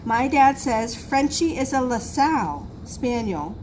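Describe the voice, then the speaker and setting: Woman, children's read-aloud, highish voice, unhurried, expressive storytelling, noisy room recording. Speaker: school assistant reading to pupils.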